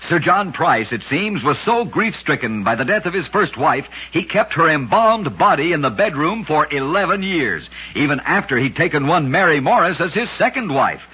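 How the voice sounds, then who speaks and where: A man hosting and narrating short scripted oddity stories on an old-time radio show, telling them briskly and emphatically with punchy endings. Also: fairly high pitch, big pitch swings, sharp tone, slightly rough. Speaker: radio host and storyteller. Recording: lo-fi vintage radio recording.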